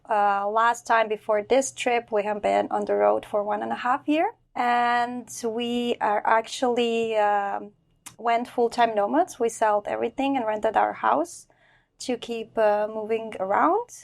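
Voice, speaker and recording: A woman from Croatia with a slightly high voice, speaking as a guest on a group podcast. She talks earnestly and pauses now and then to find her words. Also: fairly even intonation, creaky. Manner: earnest, slightly halting with fillers. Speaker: guest, mother of three. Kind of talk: casual group podcast chat.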